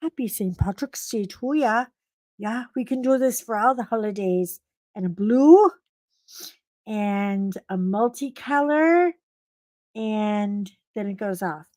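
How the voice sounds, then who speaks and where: A middle-aged woman hosting a casual live stream, chatting to viewers and telling a story with a slightly froggy voice and big swoops of pitch on her greetings. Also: lively intonation, takes it slowly, warm tone, dry recording.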